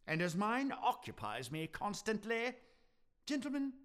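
Man playing a scornful old miser, swooping pitch. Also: sing-song, a little slow, fairly bright tone, clear, resonant tone, full character voice. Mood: contemptuous. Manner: curt, sneering, theatrically indignant. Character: miserly, bitter old Victorian businessman, scornful and grumbling. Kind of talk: radio play acting.

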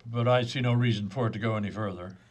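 Man acting dry, measured police detective. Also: lowish voice, steady tone, lo-fi sound, natural voice. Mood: businesslike. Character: male police detective, measured and dryly skeptical. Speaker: actor in a community radio play. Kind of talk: radio play acting.

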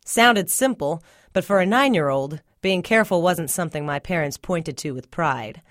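A woman's voice: on the low side, animated, moderate pace, no room sound, studio quality. A woman reading a first-person literary short story aloud as scripted narration. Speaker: story reader.

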